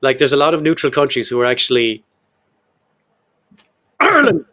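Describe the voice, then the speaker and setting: A man's voice: mid-range voice, huge pitch swings, moderate pace, bright, forceful tone. A man of about fifty hosting a casual history podcast, jokey and irreverent, who swears offhandedly and breaks up long read-out quotes with his own asides. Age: 50s. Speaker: podcast host.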